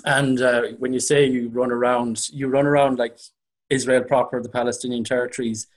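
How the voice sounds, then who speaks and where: A man's voice: mid-range voice, little pitch movement, a little fast. A man in a mid-range voice hosts a podcast. He opens upbeat and introduces his guest. He asks his questions with a few fillers. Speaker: podcast host. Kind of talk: podcast interview.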